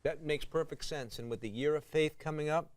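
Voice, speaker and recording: A man's voice: fairly high pitch, fairly quick, dry recording. A man cohosting a religious talk show, asking affirming, encouraging questions. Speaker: TV host. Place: TV studio.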